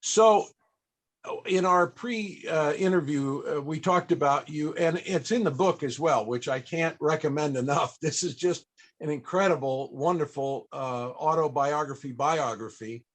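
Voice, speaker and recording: A man with a fairly high voice hosts a panel interview. He speaks conversationally, in halting and effusive phrases that circle before they land.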